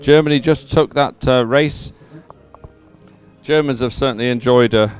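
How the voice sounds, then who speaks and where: A man giving live sports commentary as an event announcer, in a measured, hesitant way with frequent pauses. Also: medium pitch, soft background music.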